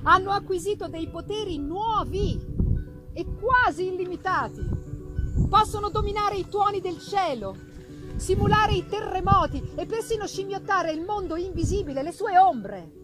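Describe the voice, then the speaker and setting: A woman's voice: very high-pitched, expressive, normal speed, loud music behind the voice, voice pushed up, poor audio. A woman voicing a benevolent male professor in his fifties, lecturing with grand, impassioned declamation in a dramatic radio reading of a novel.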